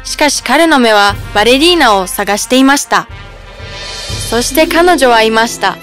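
A woman narrates a scripted fairy tale for children. Her voice is on the high side. Music plays clearly behind her. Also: expressive, moderate pace, a bit bright.

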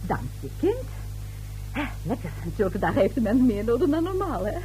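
A woman's voice: on the high side, sing-song, normal speed, mellow, soft background music, poor audio. An actress playing a tipsy, gossipy neighbour lady in a radio play, chatty, coy and giggly. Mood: gossipy, knowing. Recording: old radio drama recording.

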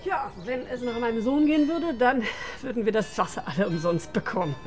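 A woman's voice: a little high, fairly quick, faint music behind, close to an everyday voice. An actress playing a diplomatic middle-aged mayor and harried mother in a naturalistic radio drama. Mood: embarrassed, exasperated. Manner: polite, official, exasperated with her son. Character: diplomatic female mayor, embarrassed mother of a teenage son.

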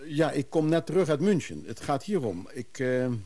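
An actor playing a wry, scheming antiques hustler in a hissy radio play recording, courteous but hesitant with others. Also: male voice, a little high, very animated, moderate pace, warm tone. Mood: polite, hesitant. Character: scheming antiques hustler in his mechanical-music trade, wry, smooth. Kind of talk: Dutch radio play acting.

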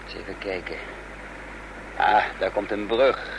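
Een acteur die in een hoorspel een vastberaden voortvluchtige dubbelganger speelt, bedachtzaam sprekend en dan weer bevelend. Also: male voice, deep voice, unhurried. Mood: pensive. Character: resolute male fugitive impostor leading his companions. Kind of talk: radio drama acting. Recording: noisy old radio play recording.

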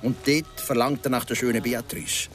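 An actor playing a philosophising local guide and storyteller in a Swiss German radio drama, speaking in a deliberate, dramatic way. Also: male voice, medium pitch, steady tone, fairly bright tone, faint music behind, close to an everyday voice. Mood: grave, dramatic. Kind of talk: radio drama, telling a folk legend.

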